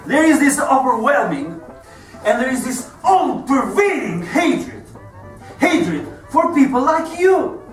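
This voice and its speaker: Man acting a menacing, high-pitched police detective, roomy sound. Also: very animated, slow, full character voice. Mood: seething, righteous. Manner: profane, mocking, explosive. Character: volatile police detective interrogating a prisoner, menacing, self-righteous. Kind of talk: theatrical monologue performance. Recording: roomy, distant mic, music behind.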